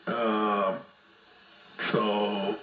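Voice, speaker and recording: A man heard over a two-way AM radio, talking informally and haltingly as an amateur operator on a hand mic. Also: on the low side, little pitch movement. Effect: two-way AM radio transmission. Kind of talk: casual radio contact chat. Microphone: hand microphone. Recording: muffled, echoey AM radio reception.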